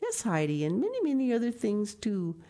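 A woman voicing a poor, frail old grandmother who speaks gently and with a sigh. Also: medium pitch, huge pitch swings, normal speed, voice pushed up. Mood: grateful. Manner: gentle, sighing and tremulous.

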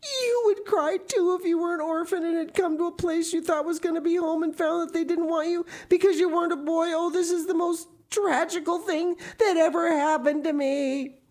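A woman's voice: on the low side, lively intonation, moderate pace, darkish tone, pitched above the performer's own voice, natural voice. A woman voicing a dramatic, imaginative orphan girl of about eleven who speaks in gushing, theatrical outbursts. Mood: distraught. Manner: gushing, theatrical, tearful pleading.

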